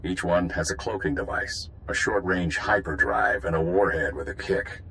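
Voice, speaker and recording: Game squad commander: low, bright-edged, confident and authoritative, faint ambience. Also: male voice, normal speed, slight background noise, low-quality recording. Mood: assured briefing. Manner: authoritative briefing delivery. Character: confident male spymaster commanding a covert squad. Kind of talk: video game voice acting.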